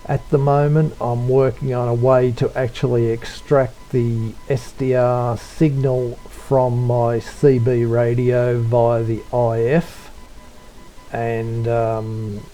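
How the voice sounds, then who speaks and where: A man giving an unscripted software tutorial, talking in a low-key, hesitant way as if thinking aloud. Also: little pitch movement, slow, soft background music, poor audio. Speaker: tutorial presenter, radio hobbyist.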